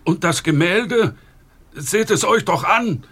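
A man's voice: high voice, expressive, slow pace, fairly bright tone, breathy edge, full character voice. An actor playing a haunted, worried man in an audio drama, hesitant and easily worked up. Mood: agitated, defiant. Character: haunted, agitated grown man, a worried client. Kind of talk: German audio drama acting.

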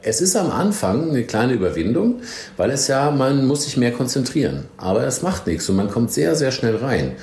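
A man's voice: medium pitch, big pitch swings, moderate pace, darkish tone. A man who works as a training captain, chatting casually on a podcast and giving advice with teasing, jokey asides. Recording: roomy echo.

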